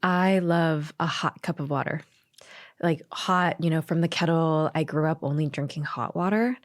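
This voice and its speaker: A woman's voice: deep voice, normal speed, no room sound, studio quality. A woman from Hong Kong answering questions conversationally as a podcast guest and doctor.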